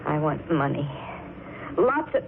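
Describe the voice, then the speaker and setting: A brassy radio dame, tinny and noisy. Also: female voice, low voice, big pitch swings, slow pace, creaky, full character voice. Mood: suspicious, brazen. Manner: slangy, taunting, melodramatic. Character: brassy, shrewd, grasping girlfriend of a murderer. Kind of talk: 1940s radio thriller acting.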